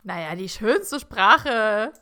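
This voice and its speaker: A woman's voice: medium pitch, animated, takes it slowly, sharp tone. A young woman co-hosting a podcast, with dry, teasing, skeptical remarks in casual chat. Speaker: podcast co-host.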